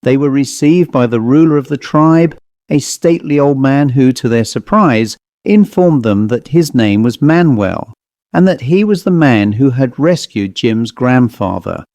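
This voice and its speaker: A man narrating a scripted story as an audiobook reader, in a measured, deliberate manner. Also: mid-range voice, lively intonation, a little mellow, dry recording, studio quality.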